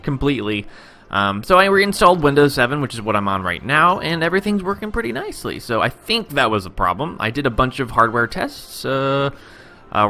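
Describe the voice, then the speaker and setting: A young man talks through a city-building game in a rambling, self-interrupting way. He has just woken up, so his voice sits lower than usual, yet it stays bright and crisp. His pitch swings widely as he chats. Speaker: gaming video host. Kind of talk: casual let's-play commentary.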